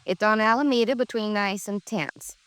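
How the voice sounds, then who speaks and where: A middle-aged housewife, played by an actress in a 1950s radio crime drama. Her voice sits at an ordinary female pitch, plain and anxious in manner. The sound is dry, with very little room around her. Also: normal speed, fairly bright tone, clean tone, no echo, lightly acted. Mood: uneasy. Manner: plain-spoken, fretful.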